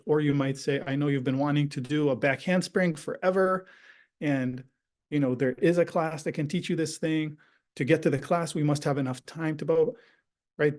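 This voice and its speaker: A man's voice: medium pitch, a little mellow. Guy teaching a parenting class on a video call, hebrew is his first language, chatty, keeps saying right?. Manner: conversational, often adds "right?". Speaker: parenting educator. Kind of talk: online parenting workshop talk.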